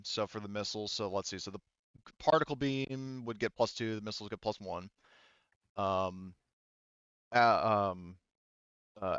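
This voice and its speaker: Low-voiced man running a tabletop game. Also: a bit bright, clean tone, no echo, muffled recording. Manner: hesitant, thinking aloud. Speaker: game master. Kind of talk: running a tabletop sci-fi roleplaying game, explaining rules.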